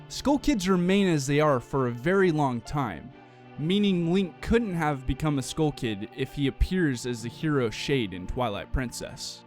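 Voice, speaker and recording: A man's voice: on the high side, a little mellow. A man narrating a scripted, analytical video game theory explainer. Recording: music playing behind the voice. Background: background music.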